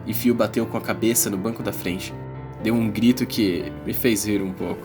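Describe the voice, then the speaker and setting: A man's voice: on the low side, fairly even intonation, moderate pace, over music, lightly acted. A Brazilian man playing a teenage schoolboy who narrates an uneasy fantasy adventure in a dramatized audiobook. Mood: narrating. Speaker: first-person narrator.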